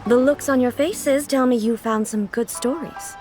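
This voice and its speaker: A woman voicing a stiff, formal female divine ruler in a video game, speaking in a calm, measured, literal-minded way. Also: high-pitched, natural voice. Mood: earnest. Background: game music.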